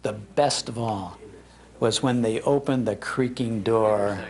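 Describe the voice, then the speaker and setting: Older American man, animated nostalgic storyteller. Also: on the low side, slow pace, crowd noise. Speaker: radio historian and broadcaster giving a talk. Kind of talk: nostalgic storytelling to a live audience. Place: public library talk.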